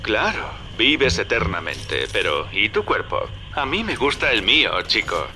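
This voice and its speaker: Wry schemer with Castilian accent, noisy backdrop. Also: male voice, on the low side, big pitch swings, unhurried. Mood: wry, musing. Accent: Castilian Spanish. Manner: familiar, wry, directing. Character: folksy, wry male schemer guiding a younger man by radio. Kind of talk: video game dub acting.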